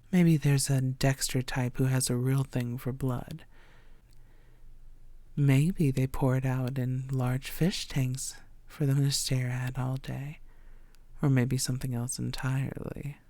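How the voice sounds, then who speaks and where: A woman playing a coy, secretive vampire who poses as a tired blood bank worker, speaking softly and playfully in an intimate audio roleplay. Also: rumbling low, flat delivery, slow pace, no echo, full character voice. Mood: musing, playful. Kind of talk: intimate scripted audio roleplay addressed to the listener.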